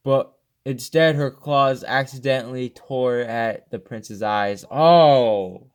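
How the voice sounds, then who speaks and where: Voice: medium pitch, slow pace, smooth. A gaming video host reading a game's story text aloud, often stumbling over words and rereading them, with casual reactions.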